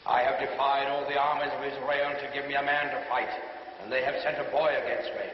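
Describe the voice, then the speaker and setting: A man's voice: level tone, fairly quick, a little hiss or hum, close to an everyday voice. A man voicing a huge, cruel, boastful giant warrior in a storybook tale, declaiming with defiance. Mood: angry scorn. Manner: defiant, declamatory, booming challenge. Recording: dull lo-fi recording, loud music behind.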